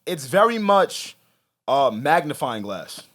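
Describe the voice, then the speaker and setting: A man co-hosting a podcast in casual banter, animated and slangy, repeating phrases for emphasis. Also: on the high side, slow pace. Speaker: podcast co-host.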